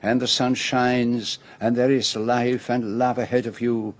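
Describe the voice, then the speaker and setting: A man acting in a radio drama as a courteous, grave foreign detective with a French accent, speaking in a formal, deliberate and theatrical way. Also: mid-range voice, level tone, close to an everyday voice. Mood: warm, gallant.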